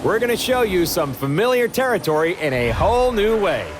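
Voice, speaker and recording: A hyped racing broadcast host for a video game. The voice is high and very animated, with showy announcer delivery and music playing clearly behind it. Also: male voice, fairly quick. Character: excitable male TV host of extreme racing series.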